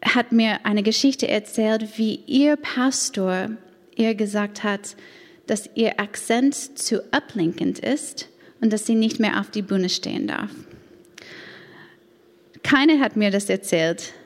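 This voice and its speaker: A woman in her thirties from the United States, a native English speaker with an American accent, giving an earnest, careful personal testimony in German at church, with a few grammar slips. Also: on the high side. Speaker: church women's ministry leader. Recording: long hall reverb.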